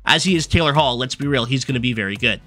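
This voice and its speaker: Fast-talking young man losing his voice. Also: mid-range voice, bright, forceful tone, soft background music. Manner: fast, rambling card-by-card rundown with fillers. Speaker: gaming YouTuber. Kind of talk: casual gameplay commentary. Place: small room at a desk.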